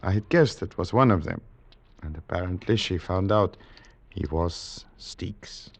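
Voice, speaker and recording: An actor playing a grim, grieving husband with a formal, measured manner and a gravelly voice in 1940s radio drama. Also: male voice, low-pitched, full character voice.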